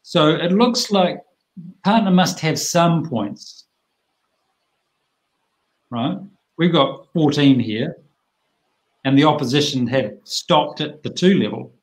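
A middle-aged man teaching a bridge hand over a video call, plain-spoken and chatty with lively asides. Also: fairly high pitch, takes it slowly, pauses often.

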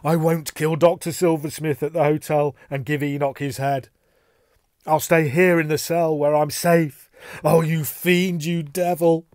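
Horror story role: male reader as a terrified, begging man, strained high voice, agitated and desperate. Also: little pitch movement, a little slow, a little mellow, no echo, clean studio sound, close to an everyday voice. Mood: sobbing despair. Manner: pleading, agitated, sobbing. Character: terrified frantic man begging to be rid of a demon. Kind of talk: dramatic horror story reading.

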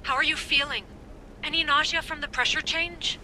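An actress playing a composed, caring female team doctor who supervises over comms in a video game, speaking in a measured way. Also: high-pitched, steady tone, sharp tone, slight background noise. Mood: reassuring. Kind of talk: video game cutscene acting.

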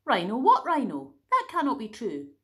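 A woman's voice: on the high side, wildly swooping pitch, moderate pace, bright, forceful tone, heavily acted. A woman voicing a squealing, upset little girl.

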